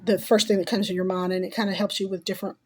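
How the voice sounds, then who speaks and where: A middle-aged woman who is ill and losing her voice answers course questions in a halting way, with many ums and restarts. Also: mid-range voice, flat delivery, slight background noise. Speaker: teacher and graduate student. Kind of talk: recorded class assignment answers.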